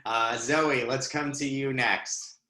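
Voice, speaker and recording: Male moderator, bright tense tone, echoey room. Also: medium pitch, steady tone, slow. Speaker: panel moderator. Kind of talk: moderating a panel.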